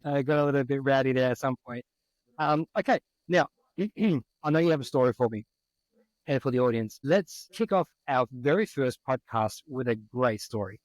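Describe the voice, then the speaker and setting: A man's voice: mid-range voice, normal speed, no room sound, poor audio. A man hosting a podcast, interviewing casually with an informal, teasing way of talking. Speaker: podcast host.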